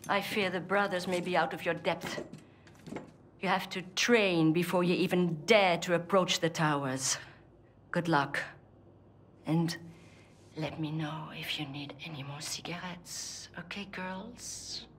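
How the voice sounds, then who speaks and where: A woman's voice: lowish voice, slow pace, pauses often, fairly bright tone, slight background noise. An actress playing a worldly, playful French resistance woman in a video game, confident and maternal, with a French accent. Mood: cautioning. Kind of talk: video game cutscene acting.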